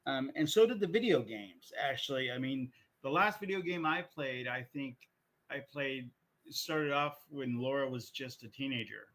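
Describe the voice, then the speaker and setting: A man co-hosting a podcast, chatting casually and working through his points in a halting, rambling way with repeated phrases. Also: mid-range voice, fairly bright tone, low-quality recording. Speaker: podcast co-host. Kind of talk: casual chat about video game movies.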